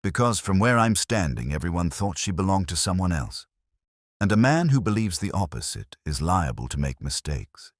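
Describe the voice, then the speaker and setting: A cool male private eye, acted by an audiobook narrator, a man needles suspects with pleasant wisecracks. The voice is extremely deep and lively in pitch. The recording is dry. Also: moderate pace, no echo. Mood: cool, needling.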